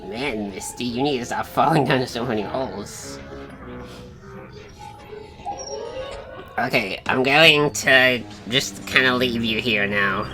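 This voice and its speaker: A woman's voice: a bass voice, measured pace, music in the background. A woman voicing a brash, foul-mouthed, hungry boy trainer in an improvised cartoon fandub, slangy and exasperated. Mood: resigned.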